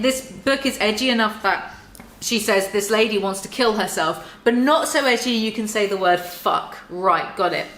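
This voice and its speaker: A woman reads aloud with mock drama and keeps cutting in with sarcastic asides. Her voice is bright and sharp. The sound carries a noticeable roomy echo. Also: medium pitch. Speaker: YouTuber reading and mocking a book. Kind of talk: dramatic reading with sarcastic commentary.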